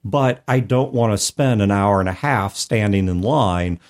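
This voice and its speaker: Low-voiced man in casual podcast discussion. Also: unhurried. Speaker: podcast co-host. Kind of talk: casual discussion of tabletop role-playing.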